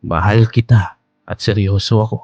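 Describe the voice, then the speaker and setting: A man's voice: lowish voice, fairly even intonation, moderate pace, darkish tone, no room sound, lo-fi sound, close to an everyday voice. An actor playing an older, playful Filipino womanizer who is earnestly courting, in a hesitant, coaxing radio drama style. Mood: earnest, pleading. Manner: hesitant, coaxing, peppered with interjections.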